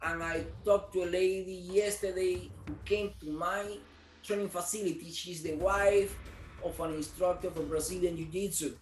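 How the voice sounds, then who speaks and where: A man's voice: high voice, fairly even intonation, moderate pace, roomy sound, soft background music, low-quality recording. A man from Cuba, a business owner answering interview questions earnestly, repeating words as he searches for them. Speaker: martial arts studio owner.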